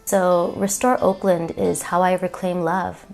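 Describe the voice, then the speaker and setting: A woman's voice: fairly low pitch, flat delivery, unhurried, creaky, darkish tone, faint music behind. A woman community organizer telling a personal story, reflective and earnest, with frequent ums.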